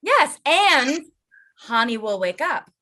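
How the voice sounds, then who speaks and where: A woman's voice: sits high, sing-song, takes it slowly, bright, forceful tone, heavily acted. A woman playing a hesitant but practical, supportive woman in a staged reading of a play. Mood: hopeful.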